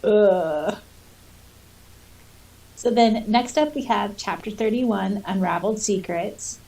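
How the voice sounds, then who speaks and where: A woman's voice: mid-range voice, slow, creaky, warm tone, far from the mic, rough recording. A woman co-hosting a podcast, chatting casually and reading out quotes. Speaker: podcast co-host. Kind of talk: casual chat; reading out quotes and listener comments.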